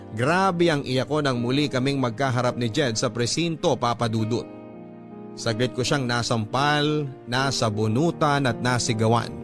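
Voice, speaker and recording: A Filipino man narrates a confessional letter as a radio host. His voice is fairly low, earnest and measured, with prominent music running beneath it. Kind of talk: scripted dramatic story narration. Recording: music bed under the voice.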